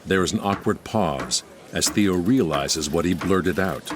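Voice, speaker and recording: A man's voice: sits low, a little slow, noisy background. A man narrating an audio musical, reading scene headings and stage directions as scripted narration. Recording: loud music and crowd behind the voice. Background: music, audience.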